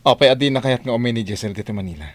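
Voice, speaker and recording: A man's voice: mid-range voice, racing, close to an everyday voice. A man playing an impatient, determined young wealthy employer in a radio drama, with an Ilocano accent. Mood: urgent questioning.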